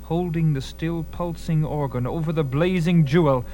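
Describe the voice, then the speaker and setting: High-pitched man narrating dramatically over music. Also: normal speed. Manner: theatrical, suspenseful. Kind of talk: dramatic scripted story narration. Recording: loud music under the voice. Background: loud dramatic music.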